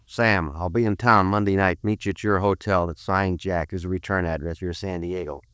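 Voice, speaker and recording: An actor playing a terse, deadpan American police detective who narrates a 1950s radio crime drama. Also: male voice, sits low, moderate pace, clear, resonant tone, no echo. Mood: stern, pressing. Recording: vintage 1950s radio recording.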